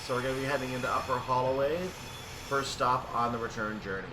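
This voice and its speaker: A man's voice: mid-range voice, quick pace, background noise. A man doing casual, explanatory gameplay commentary, thinking aloud as he goes. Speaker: gaming video creator narrating train simulator play.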